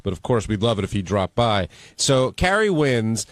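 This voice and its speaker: A man's voice: lowish voice, very animated, normal speed, dry recording. A man hosting a radio wrap-up show in a casual, chatty way with callers and guests.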